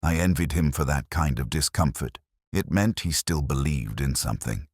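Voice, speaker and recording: A man narrating a first-person detective story in a measured, literary, brooding manner, with a husky voice. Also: a bass voice, no room sound, studio quality.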